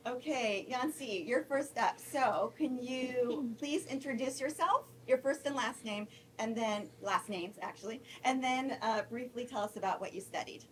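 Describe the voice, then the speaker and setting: A woman's voice: on the high side, measured pace, hardly any pauses, recorded from a distance, a little hiss or hum. A woman moderating a conference question session, friendly and informal, correcting herself as she goes.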